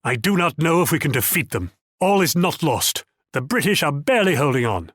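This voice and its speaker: A man's voice: a little high, big pitch swings, brisk, fairly bright tone, no echo, clean studio sound. A man voicing a proud, condescending, wealthy Dutch military commander in a video game, with a theatrical and patronizing manner. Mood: gloomy, then determined. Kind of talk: video game character voice lines.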